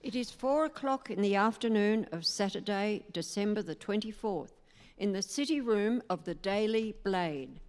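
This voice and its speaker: A woman narrating a live radio play, setting the scene in a measured storytelling way. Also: mid-range voice, a little mellow. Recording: long reverb of a concert hall.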